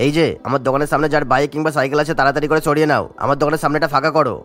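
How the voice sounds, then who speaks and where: A man's voice: a little high, steady tone, rapid-fire, fairly bright tone, faint music behind, close to an everyday voice. A man voicing a spiteful, blustering grocery shopkeeper in a cartoon, scolding and argumentative. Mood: angry, bossy. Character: spiteful, grumbling male grocery shopkeeper, bullying rival.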